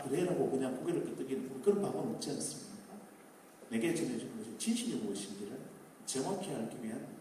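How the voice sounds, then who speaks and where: A man's voice: mid-range voice, dark tone, slight background noise. Man lecturing in an echoey hall. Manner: rhetorical questions, rising emphasis. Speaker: speaker at a public talk concert. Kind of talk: lecturing to a live audience. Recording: echoey hall, distant mic.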